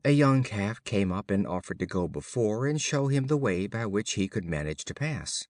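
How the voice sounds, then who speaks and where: A man reading children's fables as an audiobook narrator, in a measured, formal storybook style. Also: fairly low pitch, lively intonation, darkish tone, no room sound, clean studio sound.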